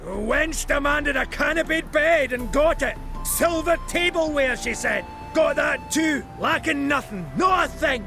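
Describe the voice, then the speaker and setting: Casting: cuckolded fantasy dwarf, coarse ranter, creaky and strikingly high for a man, game dialogue over music. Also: expressive, measured pace, few pauses, heavily acted. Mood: furious, ranting. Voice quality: gravelly. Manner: crude, sweary ranting. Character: furious drunken-sounding cuckolded male dwarf, crude and bellowing. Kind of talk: video game character acting. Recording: loud music behind.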